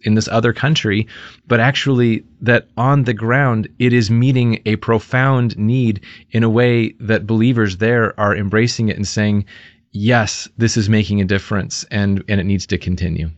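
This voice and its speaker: Male interview host, measured, filler-heavy, dry lo-fi audio. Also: on the low side, steady tone, dry recording. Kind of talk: conversational interview. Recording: dry, slightly dull lo-fi audio.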